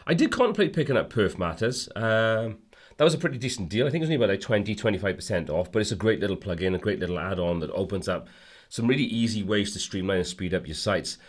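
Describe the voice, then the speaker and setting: A man with a cold, a bit hoarse, hosting a casual livestream and rambling through viewer comments with lots of fillers. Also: fairly low pitch, expressive, fast talker. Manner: rambling, friendly, many fillers and restarts. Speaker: livestream host.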